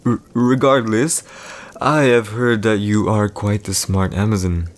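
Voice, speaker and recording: A man playing a proud, calculating villain emperor in an audio roleplay, speaking in a measured, commanding way with deliberate pauses. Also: on the low side, fairly even intonation, close to an everyday voice. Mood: measured, condescending. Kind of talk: scripted boyfriend audio roleplay, slow dramatic monologue.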